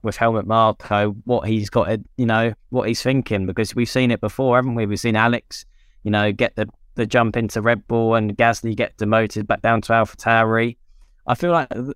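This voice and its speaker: A man's voice: on the low side, fairly even intonation, normal speed, a bit bright, smooth, no room sound, clean studio sound. A young man, a former racing driver, co-hosting a casual podcast chat, talking loosely with lots of "you know" fillers.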